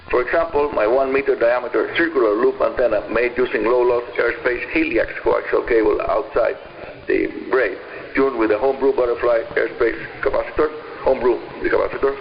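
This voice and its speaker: An older man in his seventies with a Cuban accent, a native Spanish speaker, hosting a scripted radio program for hobbyists with emphatic, deliberate enunciation, heard over shortwave radio. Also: medium pitch, gravelly. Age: 70s. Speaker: radio show host. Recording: muffled, noisy shortwave radio reception.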